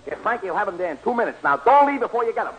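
An actor playing a bossy, slangy New York horse-betting gambler in a 1940s radio comedy, talking fast and ungrammatically. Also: male voice, sits high, very animated, fairly bright tone, dull audio, a little hiss or hum. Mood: excited, pushy. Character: brash, bossy American horse-betting gambler, sentimental, uneducated. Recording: old 1940s radio broadcast recording.

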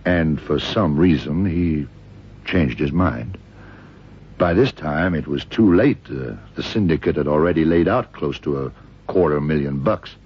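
An actor playing a shrewd, methodical detective in an old radio crime drama, gravelly and deliberate, musing as he questions. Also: extremely low pitch, full character voice. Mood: working it out. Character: shrewd, methodical middle-aged American male detective. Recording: dull vintage radio drama recording.

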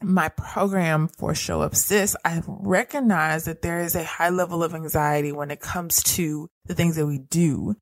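A woman's voice: on the low side, dry recording. Earnest woman teaching about anxiety. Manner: earnest, repetitive phrasing, direct address. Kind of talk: teaching monologue.

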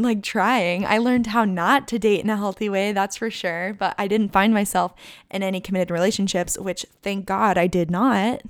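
A woman's voice: medium pitch, clean tone, no echo, studio quality. A woman in her twenties hosting a casual solo podcast, chatty and candid, leaning on "like" and "literally" and laughing often. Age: 20s. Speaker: podcast host.

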